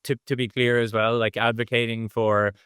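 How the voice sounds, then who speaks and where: Middle-aged male interview guest, clear resonant voice, casual and discursive, closely recorded with no room sound. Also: medium pitch, flat delivery, moderate pace, a bit bright, no echo, clean studio sound. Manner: discursive, thinks aloud in long hedged explanations. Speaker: interview guest, design executive.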